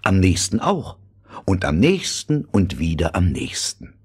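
A man with a husky, breathy voice narrating a scripted fairy tale. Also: low voice, huge pitch swings, unhurried, a little mellow.

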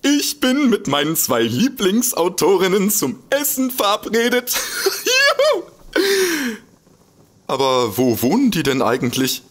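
Swoopy-voiced, flustered fanboy detective. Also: male voice, high-pitched, wildly swooping pitch, a little slow, fairly bright tone, exaggerated voice. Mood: giddy delight. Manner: stammering, gushing, giggly, easily flustered. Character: excitable, smitten, bumbling male detective fan. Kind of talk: comedic German audio drama.

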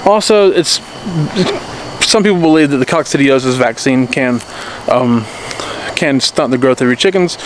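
A man's voice: medium pitch, moderate pace, slight background noise, low-quality recording. A man in his thirties talking casually to a handheld camera in his backyard, rambling with restarts and often asking "okay?". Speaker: homesteading vlogger. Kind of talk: casual explainer vlog. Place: backyard outdoors. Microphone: handheld camera at arm's length.

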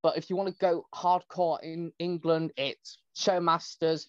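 A man in his twenties chatting excitedly as a podcast host. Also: a little high, dry recording. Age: 20s. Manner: excited, rapid fan chatter. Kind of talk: casual podcast chat. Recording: dull, dry lo-fi sound.

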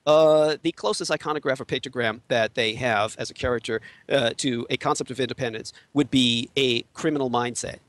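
A man from Taiwan whose first language is Chinese, an interview guest giving an emphatic, lecturing monologue that swings from loud high outbursts to low murmurs. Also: brisk, few pauses, no echo. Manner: emphatic lecturing monologue, voice rising and falling sharply. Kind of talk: conspiracy talk on an audio interview.

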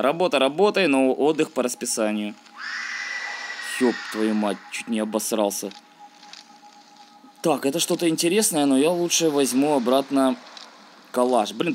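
A man's voice: medium pitch, a little hiss or hum. A man giving casual, slangy let's-play commentary, thinking aloud and grumbling as he plays. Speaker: gaming video host.

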